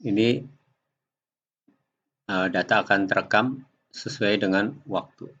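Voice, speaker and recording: A man giving an unscripted software tutorial, explaining step by step in a halting way. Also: lowish voice, slightly rough. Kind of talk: unscripted screen-recording tutorial. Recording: dull lo-fi home recording.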